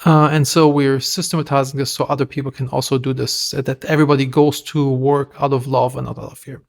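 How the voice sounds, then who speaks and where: A man with a German accent, a podcast guest telling stories in a lively, self-deprecating way. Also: mid-range voice, quick pace, vocal fry. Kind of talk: casual interview talk.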